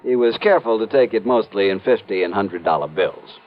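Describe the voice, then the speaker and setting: On a muffled vintage radio recording, a man plays an earnest American insurance executive. The voice sits fairly low but moves around expressively, businesslike and emphatic as it lays things out. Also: lively intonation, brisk, close to an everyday voice. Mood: emphatic, concerned. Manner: emphatic, explanatory. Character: earnest businesslike male insurance company official. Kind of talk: 1950s radio drama acting. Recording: dull old radio recording.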